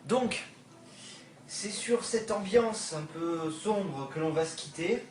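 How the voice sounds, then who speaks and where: A man's voice: sits high, takes it slowly, distant mic. A young man narrating an early gaming commentary video, hesitant and full of fillers, recorded on a phone with no microphone. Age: young adult. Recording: old roomy recording with music.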